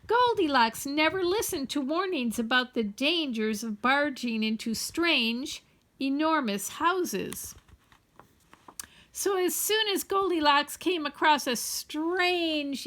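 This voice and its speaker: A woman reading a children's story aloud in an expressive, playfully wry and deliberate way. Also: sits high. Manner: playfully wry, deliberate, addresses young listeners. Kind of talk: animated storybook read-aloud.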